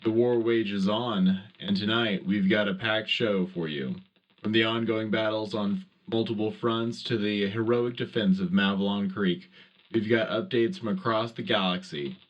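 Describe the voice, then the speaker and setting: A man's voice: on the low side, steady tone, unhurried, muffled recording. A man playing an earnest wartime radio news host, reading scripted announcements in a polished way.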